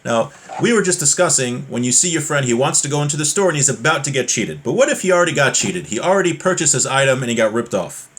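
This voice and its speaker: A man's voice: mid-range voice, lively intonation, fast, few pauses, a bit bright, husky. Man teaching an informal religious class. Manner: conversational, explains with everyday examples. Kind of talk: informal lesson, reading Hebrew text and explaining it in English.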